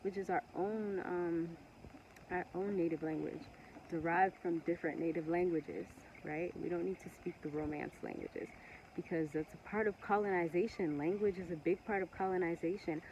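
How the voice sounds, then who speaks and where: Woman from togo, ewe first language, earnest rambling monologue, lowish voice, muffled lo-fi audio. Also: a little mellow, a little hiss or hum. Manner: earnest, passionate, with many pauses and restarts. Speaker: vlogger. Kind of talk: casual monologue to camera.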